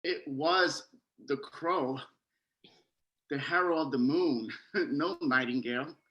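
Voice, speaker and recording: A man's voice: a little high, slow, dull audio. A man playing a lovestruck teenage boyfriend in a theatrical Shakespearean verse reading. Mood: tender, wistful. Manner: dramatic, theatrical delivery. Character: lovestruck teenage boyfriend reluctant to leave his girl. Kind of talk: Shakespearean verse acting in an online staged reading.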